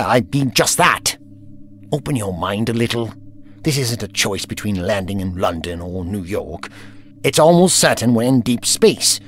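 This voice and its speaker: An actor playing an imperious, irritable time-travelling alien doctor in an audio drama, with a pompous, scolding, professorial manner. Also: male voice, mid-range voice, close to an everyday voice.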